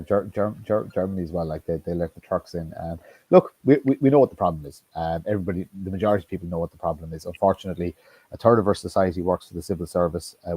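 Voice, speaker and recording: Deep dark-voiced man, halting. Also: slightly rough, no echo, poor audio. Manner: halting, many restarts and ums, blunt with swearing. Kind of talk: casual political discussion.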